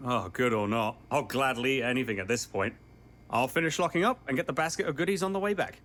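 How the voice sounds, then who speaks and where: A man playing an easygoing, weary young fast-food manager in an audio drama, earnest and good-natured. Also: medium pitch, fairly bright tone, close to an everyday voice. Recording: noisy backdrop with clicks.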